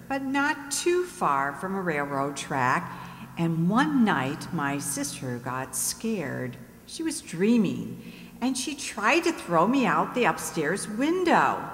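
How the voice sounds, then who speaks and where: A woman playing a coy married lady telling her story deliberately as an old-time radio quiz contestant. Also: medium pitch, very animated. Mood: recounting. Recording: echoey hall, faint hum.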